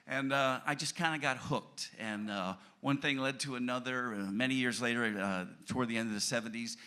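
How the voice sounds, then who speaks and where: A man with a bright, forceful voice tells a self-mocking anecdote to a room on a cruise ship. He talks into a handheld mic and chuckles along with his own story. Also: medium pitch, fairly quick, clear, resonant tone. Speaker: band member, lead singer. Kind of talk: storytelling on a panel. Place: cruise ship event room before an audience. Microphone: handheld microphone.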